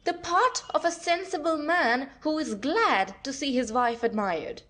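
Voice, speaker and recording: Woman playing a high-voiced, defiant young wife. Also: measured pace, a bit bright. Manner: theatrical, haughty. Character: defiant, flippant young wife, mock-innocent. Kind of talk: classic stage comedy audio play.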